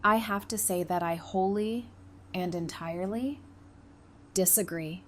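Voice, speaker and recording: An actress playing a brave, loyal, plain-spoken survivor in an audio drama. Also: female voice, mid-range voice, a little slow, lightly acted. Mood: tender, firm. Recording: clear background noise.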